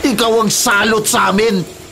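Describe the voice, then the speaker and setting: A man's voice: sits high, steady tone, normal speed, creaky. An actor playing a ruthless, gloating Filipino gunman and illegal logger in a radio drama, with a menacing, taunting delivery. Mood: taunting, cruel. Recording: loud dramatic music under voice.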